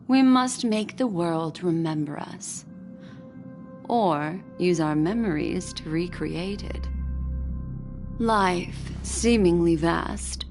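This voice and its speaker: An actress playing a mysterious, alluring woman who speaks in soft, slow, philosophical musings about memory in a game. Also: fairly low pitch. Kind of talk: game voice acting.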